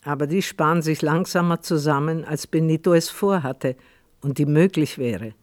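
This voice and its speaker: A man narrating a radio play in a measured, literary manner. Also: fairly high pitch, dry recording, studio quality.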